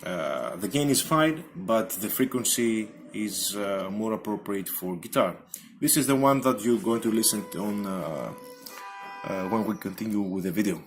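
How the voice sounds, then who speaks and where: A man giving an unscripted technical explanation as a hobbyist presenter, halting and hesitant, with many ums. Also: fairly low pitch, lively intonation, soft background music.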